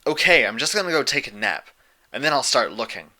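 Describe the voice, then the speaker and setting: Brash, cocky pegasus girl, done by a man, forceful. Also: mid-range voice, very animated, a little fast, full character voice. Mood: sullen defiance. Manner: blunt, challenging, slangy. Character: brash, impatient, cocky young female pegasus pony.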